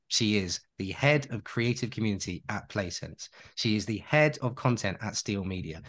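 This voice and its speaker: A man co-hosting a podcast, chatting casually and enthusiastically, piling on praise and rambling through restarts. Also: on the low side. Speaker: podcast co-host.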